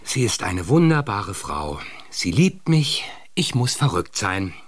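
An actor playing a devoted but uneasy, self-doubting husband in a radio drama. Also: male voice, on the low side, big pitch swings, slow, slight background noise. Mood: uneasy, confiding. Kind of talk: German radio drama acting.

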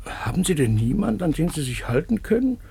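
An actor playing a meek, lonely, fussy laboratory assistant in a radio play, with a breathy, husky voice and a servile, repetitive way of talking. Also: male voice, fairly high pitch, big pitch swings, brisk, warm tone, slight background noise. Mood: fussy, deferential. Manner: servile, muttering, repeats words, swoops. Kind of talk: German radio play acting.

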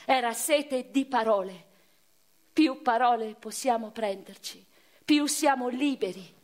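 An actress playing a passionate, literary eighteenth-century noblewoman, telling her life in an expressive, reflective radio-theatre monologue. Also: a little high, measured pace, close to an everyday voice. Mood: tender, dreamy. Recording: music behind the voice.

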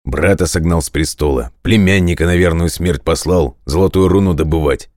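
Guy acting as a mighty storybook god, super deep voice, commanding, clear diction, dry recording. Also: darkish tone, no echo, natural voice. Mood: grudging. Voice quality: very deep, resonant, clearly enunciated. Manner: weighty, commanding, deliberate. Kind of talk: audio fairy-tale character acting.

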